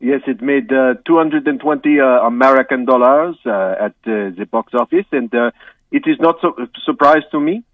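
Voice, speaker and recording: A man voicing a bumbling male French film director with a French accent, calling in and stumbling through halting broken English full of fillers. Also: mid-range voice, no echo, close to an everyday voice. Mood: earnest. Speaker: phone-in guest. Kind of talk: comedy sketch interview. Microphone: telephone. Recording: tinny, distant phone line.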